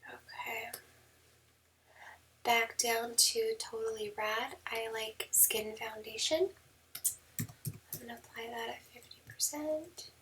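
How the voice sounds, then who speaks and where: A woman photographer giving a relaxed editing tutorial, hoarse and half whispering because her voice is going, with many ums. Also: fairly high pitch, slow, pauses often, far from the mic, rough recording. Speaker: newborn photographer. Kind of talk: screen-recorded editing tutorial. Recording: mic set back, faint background noise.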